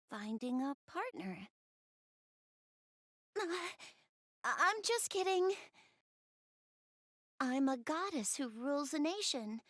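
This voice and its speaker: A woman's voice: high voice, no room sound, clean studio sound, exaggerated voice. A woman voicing a shy, bookish young goddess in a video game, speaking hesitantly and earnestly. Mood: pensive, then flustered. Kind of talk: video game character voice acting, intimate one-to-one dialogue.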